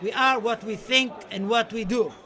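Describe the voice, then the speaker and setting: High-voiced, impassioned Spanish poet, acted by a man. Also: normal speed, bright, forceful tone. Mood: impassioned, defiant. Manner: impassioned, declamatory, dramatic. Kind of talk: theatrical radio-play monologue and poetry recital. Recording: music under the voice. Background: music.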